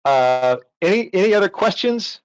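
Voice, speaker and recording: A man's voice: high-pitched, big pitch swings, moderate pace, smooth, no echo. Man presenting, dull lo-fi audio. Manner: explanatory, informal asides. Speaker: presenter, technical instructor. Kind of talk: online technical presentation. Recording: dull lo-fi online-call audio.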